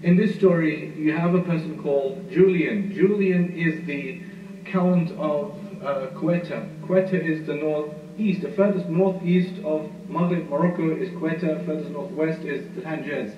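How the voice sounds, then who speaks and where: A man giving a history lecture, telling the story at an unhurried storyteller's pace with frequent "uh" fillers. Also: on the high side, fairly even intonation. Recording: muffled, roomy, far from mic, noisy.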